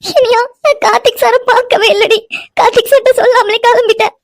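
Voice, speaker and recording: A woman's voice: very high-pitched, fast. A woman playing a distraught, lovesick young woman in an audio melodrama, crying and whining insistently. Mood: tearful, desperate. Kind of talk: melodramatic audio drama dialogue.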